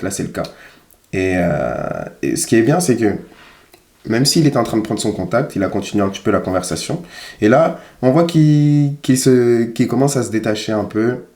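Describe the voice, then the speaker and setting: A man's voice: on the low side, a little mellow, echoey room. A man giving casual, critical commentary as a dating coach, emphatic and repeating phrases for stress. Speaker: dating coach reviewing footage.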